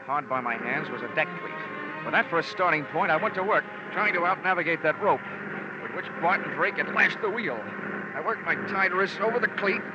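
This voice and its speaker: A man's voice: on the high side, animated, normal speed, highs cut off, natural voice. An actor playing a hard-boiled American insurance investigator, narrating his case in a wry, clipped, wisecracking way in vintage radio drama. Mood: tense. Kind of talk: 1940s-50s radio detective narration. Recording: band-limited old radio recording with music.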